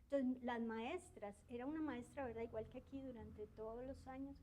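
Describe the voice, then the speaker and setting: Middle-aged academic woman from the southern cone, shy interviewee, mellow higher voice. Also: fairly even intonation, moderate pace. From Argentina and Uruguay. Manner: shy, soft-spoken, tag questions and hesitations. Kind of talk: reflective interview.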